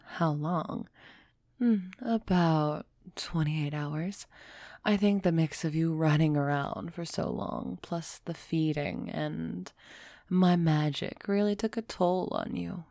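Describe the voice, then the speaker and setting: An aloof female vampire of noble bearing, acted by a woman for an audio roleplay. Her voice sits fairly low and she takes her time over every phrase. The sound is very dry and intimate, with a haughty, musing manner that hints at a softening heart. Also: slow pace, creaky, dry recording, full character voice. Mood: cool concern. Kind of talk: scripted audio roleplay acting, close and soft.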